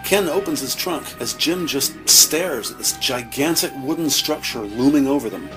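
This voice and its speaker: A man narrating an audio drama from a script, describing scenes in measured present-tense storytelling. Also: medium pitch, rough recording. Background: music.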